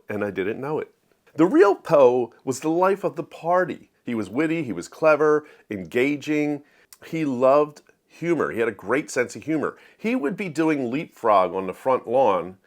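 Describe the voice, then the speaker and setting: Low-voiced man giving a casual review. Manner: conversational, playful asides and wordplay. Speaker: book reviewer, show host. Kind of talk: casual spoken book review.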